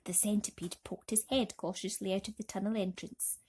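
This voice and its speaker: A woman's voice: medium pitch, steady tone, fairly quick, darkish tone, slight background noise. A woman narrating a children's bedtime story expressively.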